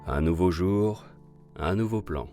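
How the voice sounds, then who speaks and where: An actor with a French accent playing a suave, callous con artist in an audio drama, silky and theatrical over music. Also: male voice, low-pitched, normal speed, dark tone. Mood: smugly wistful. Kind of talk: audio drama character acting. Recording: music under the voice.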